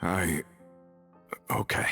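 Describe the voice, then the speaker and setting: A man's voice: extremely slow, sharp tone. A man playing a rugged, loyal, plain-spoken gang member back from prison in a video game. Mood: stunned grief. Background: background music.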